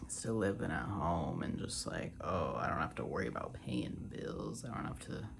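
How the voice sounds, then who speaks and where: A man in his twenties rambling casually in a soft-spoken ASMR style, drifting between a breathy murmur and a half-whisper. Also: a bass voice, big pitch swings, moderate pace, noisy background. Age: 20s. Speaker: ASMR creator.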